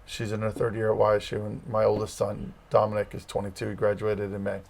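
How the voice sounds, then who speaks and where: A man's voice: fairly low pitch, monotone, quick pace, vocal fry, a little mellow. A middle-aged man from Massachusetts with a Massachusetts accent, chatting as a guest and telling rambling stories full of fillers. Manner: rambling, storytelling, many fillers. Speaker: campus ministry leader, guest. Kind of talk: casual interview chat.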